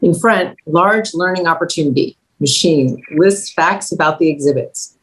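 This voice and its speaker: A woman's voice: low-pitched, normal speed, low-quality recording. A woman narrating scripted stage directions at a table read.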